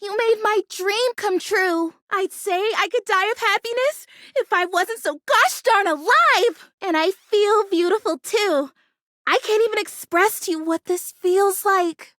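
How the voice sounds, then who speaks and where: A woman's voice: very high voice, measured pace, fairly bright tone, no room sound, studio quality. A woman voicing a perky, earnest, kooky female houseplant come to life in a video game, with a bubbly, gushing manner. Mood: overjoyed. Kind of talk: video game character voice acting.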